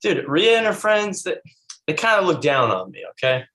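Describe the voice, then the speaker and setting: Blunt, aggrieved male friend in his twenties played by an actor, highish pitch, animated, comedy read. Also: moderate pace. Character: blunt, aggrieved twenty-six-year-old buddy. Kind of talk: comedy script table read.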